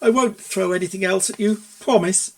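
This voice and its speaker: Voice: mid-range voice, moderate pace, voice pushed up, close to an everyday voice. A storyteller voicing a smarmy stallion image consultant from a cartoon pony world, nervous and condescending, with forced cheer.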